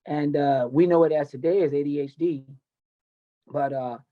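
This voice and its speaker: A man's voice: on the high side, fairly even intonation, normal speed, phone-quality sound. An American man in his fifties telling his life story candidly as an interview guest, in a blunt, emphatic, unfiltered way. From United States, grew up in Tennessee and California. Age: 50s. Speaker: podcast guest, MMA coach.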